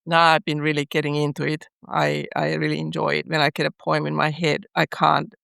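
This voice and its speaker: A middle-aged woman from Finland with a Finnish accent, a guest poet talking in an unhurried way with pauses as she searches for words. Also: low voice, completely flat delivery, vocal fry, fairly bright tone, dry recording, studio quality. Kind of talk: podcast interview.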